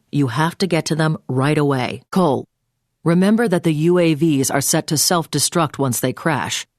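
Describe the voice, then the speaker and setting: A woman's voice: deep voice, dry recording, close to an everyday voice. Actress doing a businesslike female agent handler for a video game, clipped orders, really dry close sound. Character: brisk, commanding female intelligence agent giving orders. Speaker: mission handler over the phone. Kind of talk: scripted video game voice acting.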